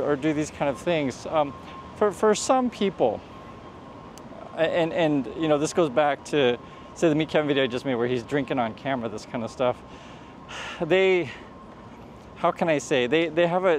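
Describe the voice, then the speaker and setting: A man's voice: mid-range voice, a little hiss or hum. A man vlogging while walking outside, giving earnest advice in a rambling way, with many restarts. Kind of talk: casual vlog commentary while walking. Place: outdoors on a street near traffic.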